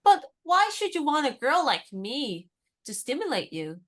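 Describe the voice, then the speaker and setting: A woman playing a plucky, independent American in her twenties in a radio comedy, speaking in a brisk, forthright, encouraging way. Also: sits high, big pitch swings. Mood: matter-of-fact, assured. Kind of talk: radio play comedy dialogue.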